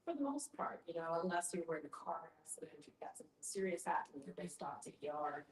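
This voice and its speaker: A woman with a slightly low voice talks reflectively in a group discussion, thinking aloud as she goes. The room is echoey, with faint noise around her. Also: big pitch swings, fast talker.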